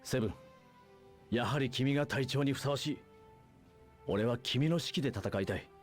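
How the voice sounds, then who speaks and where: A man playing a steady, respectful soldier and loyal comrade in an anime game, calm and courteous. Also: medium pitch, fast talker, soft background music, close to an everyday voice. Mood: approving.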